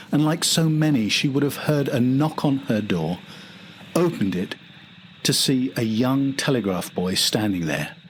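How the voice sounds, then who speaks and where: A man's voice: mid-range voice, husky. An older man reading a scripted documentary narration as the host and storyteller.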